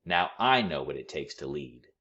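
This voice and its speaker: A man's voice: extremely low pitch, big pitch swings, normal speed, sharp tone, lo-fi sound, full character voice. Man as an eager young boy bandleader. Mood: earnest, determined. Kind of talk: storybook character voice.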